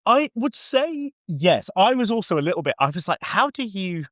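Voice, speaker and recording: A man's voice: high voice, big pitch swings, normal speed, a bit bright, no room sound, studio quality. A man co-hosting a podcast, discussing audio drama in a casual, discursive and enthusiastic way.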